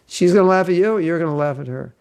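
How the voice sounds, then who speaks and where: A man's voice: on the high side, quick pace, gravelly, a little mellow, clean tone. A man giving a casual, joking class as a spiritual teacher, chatty and rambling. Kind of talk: casual online class talk.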